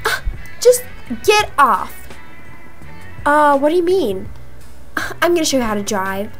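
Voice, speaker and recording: A young woman acting out improvised roleplay dialogue for a video game, in an animated manner with drawn-out words. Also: sits high. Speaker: gaming video creator voicing roleplay characters. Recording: music behind the voice. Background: music.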